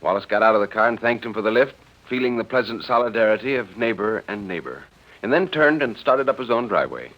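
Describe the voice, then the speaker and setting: A man narrating a dramatic story in 1940s radio style, with a literary, expressive delivery. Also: on the low side, fast. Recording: dull vintage 1940s radio recording.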